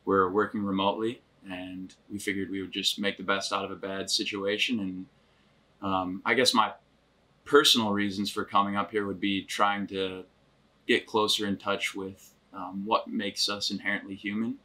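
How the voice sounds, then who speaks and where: Steady bright-toned young man. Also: fairly low pitch, normal speed. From Grand Rapids, Michigan, US. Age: 20s. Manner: reflective, steady, many fillers. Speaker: remote worker. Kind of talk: casual sit-down interview. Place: wood-paneled cabin living room.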